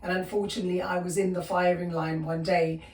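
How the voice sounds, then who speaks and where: A South African woman in her fifties, a podcast guest telling her life story with many pauses, fillers and restarts. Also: fairly low pitch, level tone, darkish tone, reverberant, far from the mic. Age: 50s. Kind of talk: personal storytelling interview.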